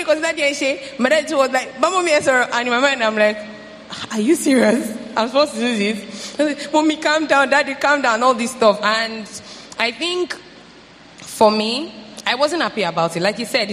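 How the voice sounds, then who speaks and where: A young adult telling a personal family story, animated when quoting family members. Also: medium pitch, a little hiss or hum. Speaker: panel participant. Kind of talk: casual sharing. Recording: hall reverb with faint ambience.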